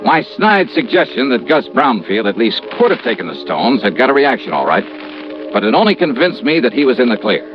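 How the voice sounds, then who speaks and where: An actor playing a confident, hard-boiled insurance investigator in a 1950s radio drama, with an American accent and a brisk, wry, conversational manner. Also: male voice, mid-range voice, very animated, music in the background, lightly acted. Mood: wry narrating. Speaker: lead actor, narrator. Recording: muffled, noisy vintage radio recording.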